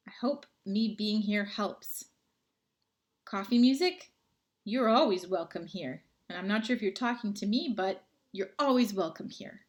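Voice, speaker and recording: A woman, chatting casually with viewers on a livestream from home and reading out their comments. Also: mid-range voice. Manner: chatty, friendly, rambling. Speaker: livestream host. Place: home, indoors.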